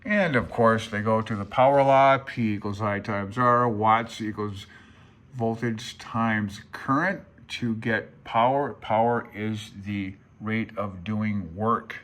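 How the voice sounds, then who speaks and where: A man's voice: lowish voice, fairly even intonation, takes it slowly, fairly bright tone. A man hosting a casual hobbyist vlog, explaining things off the cuff in a rambling, folksy, self-deprecating and unsure way. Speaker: amateur radio hobbyist video host.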